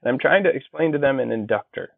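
A man with a gravelly, fry-edged voice, heard over a thin, phone-like line with no room sound. He explains technical ideas patiently and reaches for everyday comparisons. His delivery is casual and conversational. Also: mid-range voice, expressive, fast, dry recording. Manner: explanatory, builds plain analogies. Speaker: guest, engineer and copywriter. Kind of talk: conversational interview. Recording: dry tinny phone-like line.

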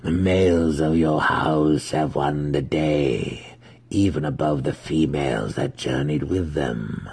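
A man reading a story aloud, voicing a mocking otherworldly demon handmaiden in a slow, overly smooth voice. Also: a bass voice, darkish tone, voice pushed down, exaggerated voice. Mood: mocking, calm.

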